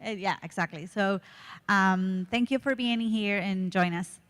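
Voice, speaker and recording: A woman from Rica, introducing herself as a conference panelist in a halting way, searching for words. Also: medium pitch, fairly bright tone. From Costa Rica. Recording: long hall reverb.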